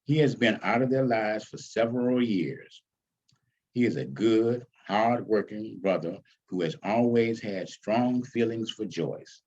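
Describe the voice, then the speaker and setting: Husky guy reading his play out loud, real slow n dramatic, kinda muffled lo-fi sound. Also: lowish voice. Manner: deliberate, dramatic phrasing. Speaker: author reading own play. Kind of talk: expressive read-aloud of a stage script.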